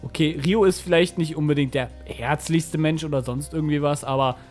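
A young man chatting along to a game as co-commentator. He is wry and playful, with a fairly high voice and faint music in the background. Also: brisk, a little mellow. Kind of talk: casual joking game commentary.

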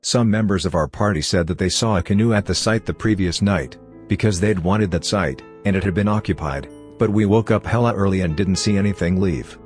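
A man reading online horror stories aloud as a scripted narration, in a low, steady storytelling delivery. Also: a little fast, few pauses. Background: faint music.

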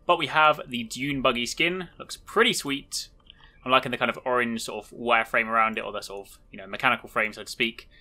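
A man hosts a gaming video in a casual, enthusiastic way. His voice is bright and forceful. He talks rapidly as he walks viewers through what is on show. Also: mid-range voice. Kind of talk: upbeat video walkthrough commentary.

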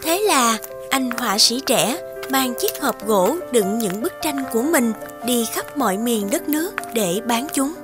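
A woman's voice: a little high, expressive, unhurried. A woman reading scripted storybook narration for a cartoon. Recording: music behind the voice.

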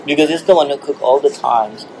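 A man's voice: fairly high pitch, a little fast, darkish tone, slight background noise, poor audio. Chatty Filipino man at dinner. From Philippines. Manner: chatty, laughs often. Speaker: vlogger host. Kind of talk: casual dinner chat. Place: restaurant, indoors. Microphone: phone camera on the table.

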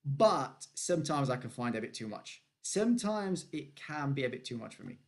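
Male podcast host, 30s, from Syria, gravelly mid voice, rambling casual chat. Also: medium pitch, moderate pace. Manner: chatty, animated, many restarts and laughs.

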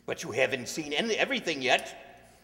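A man's voice: fairly high pitch, very animated, fairly quick, vocal fry, sharp tone, full character voice. An older man playing a pushy, talkative bus tour guide, declaiming his patter in old-time radio comedy style. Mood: indignant, insistent. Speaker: amateur radio-play actor. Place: large indoor stage hall, stand mic. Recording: reverberant hall, stage microphone.

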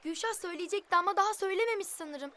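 A woman's voice: very high-pitched, very fast, pushed and bright, slight background noise. A woman voicing a determined, earnest young child who leads friends in a cartoon adventure. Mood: earnest, decisive. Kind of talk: cartoon voice acting.

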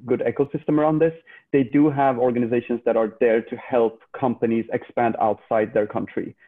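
A man's voice: medium pitch, fairly even intonation, a little fast. A man in his thirties from Sweden with a Swedish accent, a startup founder chatting casually on a video call, giving tips and often restarting. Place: room at home with a couch. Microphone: earbuds on a video call. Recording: phone-like video call audio.

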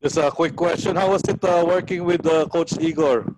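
A man in his forties with a Filipino accent, hosting an online coaching talk informally and haltingly into a headset. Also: on the high side, fairly even intonation, background noise. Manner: halting, hesitant delivery. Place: small room at home.

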